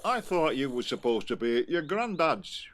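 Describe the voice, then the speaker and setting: A man's voice: mid-range voice, big pitch swings, normal speed, a bit bright. A man with an English accent playing a grumbling, plain-spoken homespun philosopher in an audio play, talking colloquially. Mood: nagging. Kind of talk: naturalistic audio play acting.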